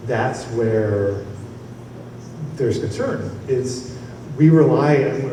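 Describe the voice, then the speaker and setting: A man from the weather service answering on a conference panel, rambling with restarts and small chuckles. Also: mid-range voice. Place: conference hall. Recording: echoey hall from far off, noisy.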